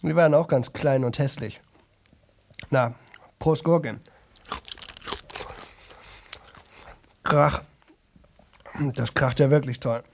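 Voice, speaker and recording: A man's voice: mid-range voice, slow pace, gravelly, a little hiss or hum. An actor playing a boastful young man who talks nonstop to an audience in a radio play, chatty, rambling and repetitive. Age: young adult.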